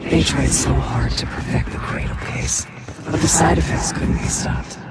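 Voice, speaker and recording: A man playing an ominous, cryptic inner voice over loud music. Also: mid-range voice, normal speed, gravelly, close to an everyday voice. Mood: hushed ominous. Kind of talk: dramatic video game voice acting.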